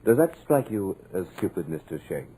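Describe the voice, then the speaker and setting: An actor playing a cultured, sinister villain in a 1950s radio drama, with a husky, measured, mocking delivery in an old radio recording. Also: male voice, low voice, big pitch swings, mellow, slight background noise, low-quality recording. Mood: menacing. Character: sinister, cultured male villain holding a writer captive.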